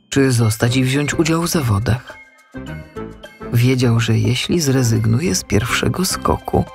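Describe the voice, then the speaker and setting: A man's voice: mid-range voice, vocal fry. A man narrating a scripted children's audiobook story.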